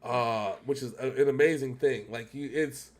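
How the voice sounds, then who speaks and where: A man's voice: mid-range voice, normal speed. An American man hosting a reaction show in casual, slangy, rambling chat.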